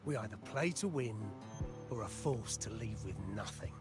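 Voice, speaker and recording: A man's voice: mid-range voice, animated, normal speed, over loud music, lightly acted. Weary, embittered old man, acted by a man. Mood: bitter, grieving. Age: older. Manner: weary, solemn, rueful. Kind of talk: scripted video game dialogue.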